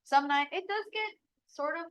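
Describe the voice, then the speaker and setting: A woman's voice: high voice, normal speed, a bit bright. Chatty young woman, dull lo-fi sound. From Bali, Indonesia. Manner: chatty, many "like" fillers, laughs easily. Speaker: local guide at orientation. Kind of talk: casual advice chat.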